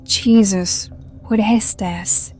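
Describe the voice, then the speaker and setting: Woman as a slow-talking, teasing female hireling. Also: medium pitch, steady tone, a little mellow, faint music behind. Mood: startled. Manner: teasing, sardonic, coaxing. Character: teasing, hard-drinking female hireling, coaxing her moody companion. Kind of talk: audio drama dialogue.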